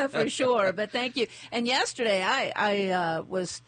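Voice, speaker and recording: A woman's voice: medium pitch, expressive, a bit bright, a little hiss or hum. Chatty radio host woman. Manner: chatty, laughs easily, reads ads and community notices briskly. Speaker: morning radio show host. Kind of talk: casual on-air chat and live sponsor reads. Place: radio studio. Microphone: studio microphone.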